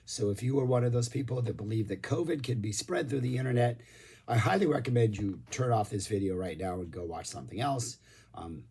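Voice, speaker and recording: A hoarse man losing his voice, rambling enthusiastically about music in a casual review vlog, with lots of ums. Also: mid-range voice, lively intonation, brisk, a little mellow. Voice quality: husky.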